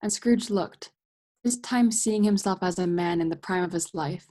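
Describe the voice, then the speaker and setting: A young woman playing the storyteller, giving measured scripted narration in a radio play. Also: lowish voice, dark tone, natural voice. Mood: narrating. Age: young adult.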